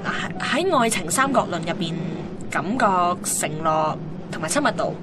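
A woman's voice: on the low side, lively intonation, moderate pace, loud music behind the voice, close to an everyday voice. An actress playing a teasing, restless and wistful young woman with a Hong Kong accent in a naturalistic radio drama. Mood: playful, probing.

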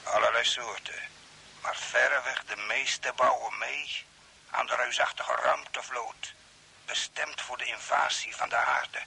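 A man acting in a radio play as a mysterious, calmly insistent Earthman stranded on Mars, speaking in a measured, formal way. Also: mid-range voice, creaky, breathy edge, exaggerated voice. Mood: matter-of-fact. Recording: hissy old radio broadcast, faint noise.

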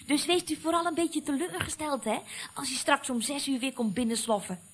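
A woman's voice: high voice, fairly quick, slight background noise, lightly acted. A woman playing a chatty, knowing office secretary in a radio comedy, confiding and mock-soothing. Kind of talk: 1970s Dutch radio comedy acting.

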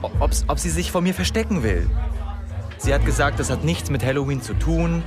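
A man's voice: on the high side, lively intonation, fairly quick, music in the background, a crowd in the background, close to an everyday voice. An actor playing a stammering, guilty young man. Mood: defensive. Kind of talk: radio drama acting.

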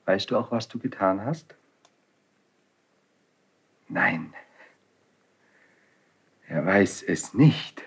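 An actor playing a passionate, defiant young Spanish prince stricken with grief, declaiming classical verse in a 1970s German radio drama. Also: male voice, sits low, animated, extremely slow, gravelly, pauses often, breathy edge, full character voice. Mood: grieving, reproachful. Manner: declamatory, impassioned. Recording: dull 1970s radio studio recording.

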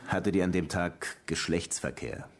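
An actor playing a brooding, world-weary police lieutenant in a radio crime drama, laconic and probing with a melancholy streak. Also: male voice, deep voice, measured pace, slight background noise. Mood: probing, skeptical. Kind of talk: naturalistic radio play acting.

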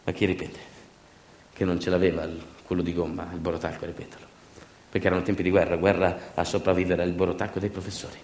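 A man's voice: low voice, little pitch movement, fast talker, slight background noise. Echoey Italian man in dramatic monologue. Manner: emphatic, repetitive, swinging from hushed asides to shouts. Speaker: stage actor and monologue storyteller. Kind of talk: theatrical narrative monologue for radio theatre. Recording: roomy echo, music behind.

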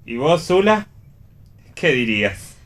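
A man's voice: medium pitch, big pitch swings, slow, a bit bright. A man with an Argentine accent playing a contrary, sardonic, restless character in a read radio play. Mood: dry. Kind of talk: read radio theatre dialogue.

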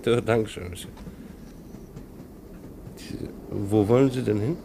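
An actor playing a brisk, unbudging train ticket inspector in a radio play, dryly polite and officious, with a gravelly voice. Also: male voice, fairly low pitch, mellow, a little hiss or hum. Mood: polite, insistent. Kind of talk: German radio play acting.

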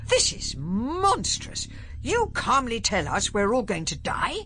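A woman voicing an indignant, forceful male official. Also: on the high side, wildly swooping pitch, takes it slowly, a little hiss or hum, voice pushed up, exaggerated voice.

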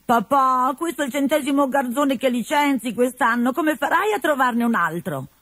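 A woman's voice: sits high, lively intonation, moderate pace, fairly bright tone, no echo, clean studio sound, voice pushed up. A woman voicing a pretty, teasing young daughter in a storybook reading. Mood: chiding. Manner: mocking, giggly. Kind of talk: storybook character voice.

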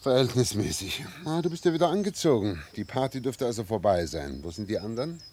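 An actor playing a weary, suspicious and bitterly sarcastic husband in a German radio play. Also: male voice, medium pitch, big pitch swings, fairly quick, a little mellow, slight background noise, close to an everyday voice. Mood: sarcastic, accusing.

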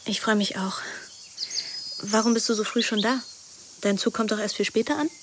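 An actress playing a wry, restless teenage daughter who narrates a literary radio play in an intimate, introspective manner. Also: female voice, medium pitch, steady tone, normal speed, noisy background, natural voice. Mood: matter-of-fact. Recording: music behind the voice.

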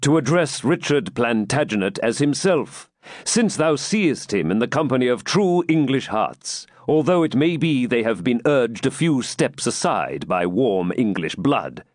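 A man's voice: mid-range voice, sing-song, measured pace, dry recording, studio quality, voice pushed up. A man voicing a proud, hearty warrior king in a dramatic storybook reading, with grand, commanding, archaic speech. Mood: warm, reassuring.

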